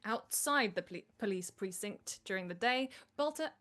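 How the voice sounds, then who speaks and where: A woman's voice: mid-range voice, lively intonation, moderate pace, a bit bright. A woman narrator reading screenplay stage directions aloud.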